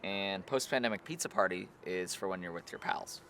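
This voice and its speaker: A man acting as a dry, teasing, heartbroken guy in a naturalistic comedy, talking in halting conversational phrases. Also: low voice, fairly bright tone. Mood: wry, friendly. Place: outdoors in a park. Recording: noisy outdoor ambience, traffic hum.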